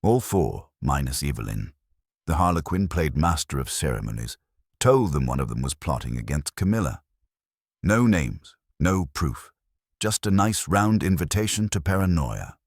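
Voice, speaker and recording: A man's voice: a bass voice, very animated, normal speed, no echo, studio quality, heavily acted. A man voicing a streetwise detective's assistant in an audiobook, wry, clipped and flippant. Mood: brisk, wry. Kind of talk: audiobook character acting.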